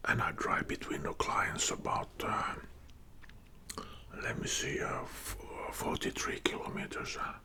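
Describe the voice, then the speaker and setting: A man from Finland with a Finnish accent whispering a casual personal story in ASMR style, in a halting, hushed manner. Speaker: ASMR video creator and support worker.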